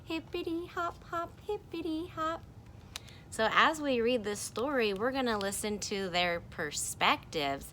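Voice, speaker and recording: Lively woman reading to children. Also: a little high, unhurried, a little hiss or hum. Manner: animated, encouraging, playful sound effects. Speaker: teacher. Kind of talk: reading a picture book aloud to children.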